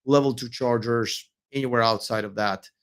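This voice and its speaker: A man's voice: medium pitch, fairly even intonation, normal speed, clean tone. A man from Russia with a Russian accent, hosting a late-night live stream. He reads viewer comments and answers them, sounding tired, with many fillers, restarts and hesitations.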